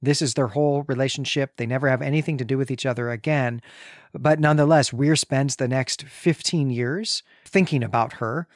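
A man speaks as a podcast co-host in a medium-pitched voice. He reasons aloud deliberately and reflectively, sometimes restarting a word, in the style of a conversational book discussion. The recording is dry and close. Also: dry recording. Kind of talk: literary discussion, conversational analysis.

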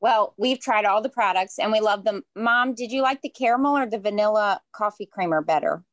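Woman co-hosting, mid-pitched casual chat. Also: fairly quick. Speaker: podcast co-host.

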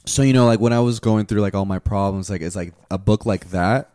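A man's voice: fairly low pitch, fairly quick, dark tone. A man in his twenties chatting casually, rambling and swearing, with lots of fillers. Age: 20s. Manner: rambling, profane, many fillers. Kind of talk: casual chat.

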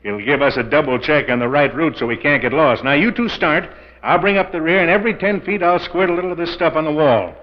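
Radio drama husband, played by a man: hearty, trusting, excitable treasure hunter, slightly high voice, muffled old recording. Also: fast talker, natural voice. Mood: eager. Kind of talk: 1940s radio thriller acting. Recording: dull vintage 1940s radio, long reverb.

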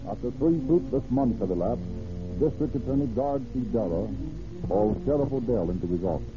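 An actor narrating an old radio crime drama in a formal, dramatic storytelling manner. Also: male voice, medium pitch, lively intonation, a little fast, close to an everyday voice. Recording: tinny old radio recording with music.

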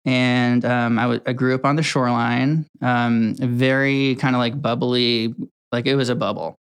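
A young man from Connecticut, a video editor chatting casually as the guest of a workplace interview, relaxed and rambling with many ums and restarts. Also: medium pitch, moderate pace, clear, resonant tone. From Connecticut, USA.

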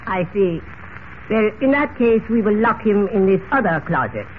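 A courteous but menacing Egyptian villain from a radio serial, speaking formally and deliberately. His voice is high for a man, on a tinny old recording with faint noise. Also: animated. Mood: threatening. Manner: formal, deliberate, coldly polite. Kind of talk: 1940s radio acting.

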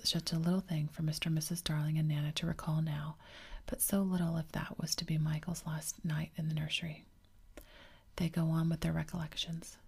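A woman narrating a classic storybook in a steady whisper, like an ASMR reading. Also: low voice, gravelly, husky. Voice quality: whispering throughout.

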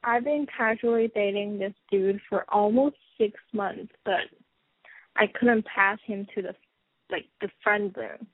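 A woman in her twenties, calling in to a radio show, talking in a shy, hesitant, halting way. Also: medium pitch, fairly even intonation, husky, dry recording. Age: 20s. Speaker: caller. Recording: tinny phone line.

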